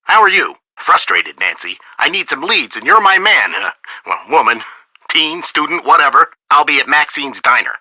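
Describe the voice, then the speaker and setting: A man's voice: on the high side, very animated, normal speed, sharp tone, no echo, full character voice, rough recording. A man voicing an edgy, awkward middle-aged police detective in a video game, abrupt and fumbling as he corrects himself. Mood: frustrated, brusque.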